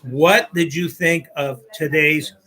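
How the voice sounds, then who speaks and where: A man hosting a casual live show, his voice a little hoarse as though he is losing it. He sounds bright and forceful, with a slightly higher pitch, and keeps nudging his guests along in an upbeat, encouraging way. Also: fairly even intonation, slow pace. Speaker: show host.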